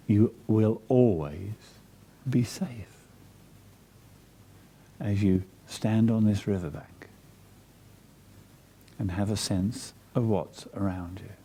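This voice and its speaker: A man leading a guided meditation in a soft, breathy, soothing and measured way, leaving long pauses between phrases. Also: lowish voice.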